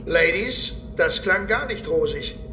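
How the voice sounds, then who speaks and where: Sardonic adventurer: haughty, dry, reverberant sound, heavy music underneath, sci-fi audio drama. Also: male voice, high voice, lively intonation, unhurried. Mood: sarcastic. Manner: dry, sarcastic. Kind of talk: German audio drama acting. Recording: reverberant, loud music behind.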